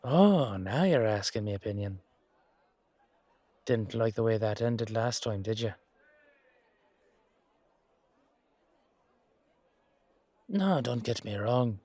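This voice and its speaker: A man's voice: on the low side, expressive, measured pace, mellow, dry recording. A man acting a humble, weary knight with a wry, casual, hesitant manner in an amateur fantasy audio roleplay. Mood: hesitant, reluctant.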